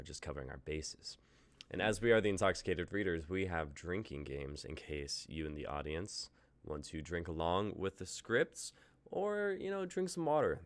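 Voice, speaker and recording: A man in his twenties hosting a casual livestream chat, upbeat and jokey as he runs the show. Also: low voice. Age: 20s. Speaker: host.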